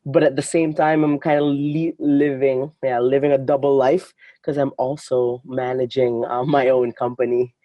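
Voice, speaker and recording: A man's voice: mid-range voice, little pitch movement, moderate pace, few pauses, no echo, highs cut off. A young man in his twenties talks easily as a podcast guest. His speech is loose and chatty, full of "like" and "you know", and he laughs often. The recording is dry and close. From Philippines. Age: 20s. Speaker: guest, marketing head. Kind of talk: casual interview chat.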